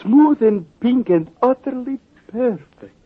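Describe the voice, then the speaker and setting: An actor playing a fussy, precise man who makes artificial gems, with a husky, pedantic way of talking, in an old radio drama. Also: very high-pitched, lively intonation, takes it slowly, full character voice. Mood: prim. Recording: muffled old radio broadcast.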